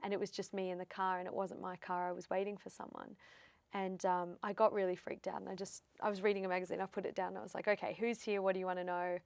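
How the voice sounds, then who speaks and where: Australian woman with a gravelly edge. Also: lowish voice, flat delivery, quick pace, darkish tone, no room sound. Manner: chatty storyteller, acts out quotes. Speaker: psychic medium guest. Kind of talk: casual interview chat.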